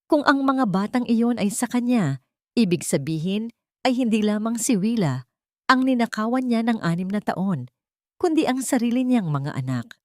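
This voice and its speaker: A woman's voice: a little high, animated, moderate pace, dry recording, studio quality. A woman with a Filipino accent narrating a scripted dramatic story.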